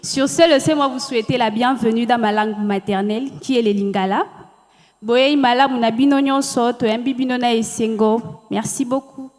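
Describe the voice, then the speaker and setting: A woman's voice: a little high, moderate pace, darkish tone. A young woman from DR Congo, proudly giving a formal welcome as a student. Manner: proud, declamatory. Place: hotel ceremony hall. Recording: echoey hall.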